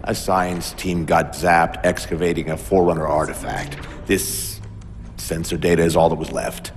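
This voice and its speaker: A man's voice: deep voice, fairly even intonation, moderate pace, big-room sound, faint music behind, lightly acted. A man playing a stern, authoritative warship captain in a video game, speaking brusquely. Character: stern commanding older male warship captain.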